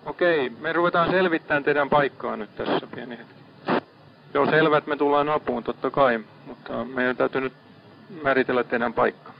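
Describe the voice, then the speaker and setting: A man's voice: mid-range voice, gravelly. A man working as a ship's radio operator in maritime distress traffic, procedural and confirming details, heard on a lo-fi radio recording. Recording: dull lo-fi marine radio recording.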